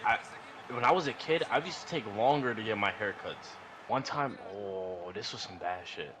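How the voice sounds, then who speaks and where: A man rambles through a casual, profane story, slangy and animated, often repeating himself. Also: fairly low pitch, normal speed. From New York. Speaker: podcast storyteller. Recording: noisy room recording.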